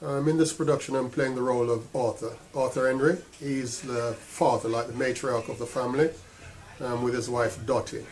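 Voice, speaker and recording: A man's voice: medium pitch, fairly even intonation, moderate pace, darkish tone, low-quality recording. Male actor, middle register, informal explanatory introduction to camera.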